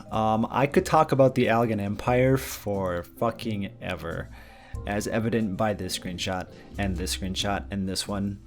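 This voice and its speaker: A man, chatting casually and rambling a bit as he answers viewer questions and covers game news. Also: fairly low pitch. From Minnesota, USA. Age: mid-20s. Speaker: gaming channel host.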